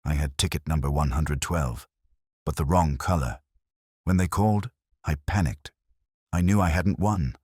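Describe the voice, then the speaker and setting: A man voicing an ashamed, frightened village woman, soft-spoken and anxious, in an audio mystery story. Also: rumbling low, normal speed, breathy edge, no room sound, studio quality. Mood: ashamed, defensive.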